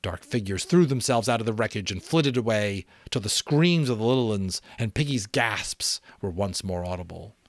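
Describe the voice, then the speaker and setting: A man with a fairly low voice narrates fiction as a dramatic reading. The recording is dry and close, with very little room in it. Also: animated, moderate pace, few pauses, dry recording. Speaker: audiobook narrator.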